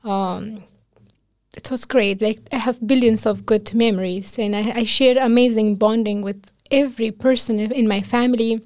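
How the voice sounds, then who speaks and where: A woman's voice: a little high, fairly even intonation, normal speed, a little mellow, no room sound. Earnest Afghan-accented woman, dry sound. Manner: earnest, emotional storytelling with quoted speech and laughter. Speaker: guest, physician. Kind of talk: casual interview conversation.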